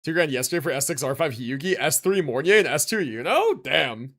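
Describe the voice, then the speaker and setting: A man's voice: on the high side, very animated, brisk, fairly bright tone. A man livestreaming a video game, chatting casually and reacting in slangy, mildly sweary language. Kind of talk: casual livestream chat with gaming commentary. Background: video game music and dialogue.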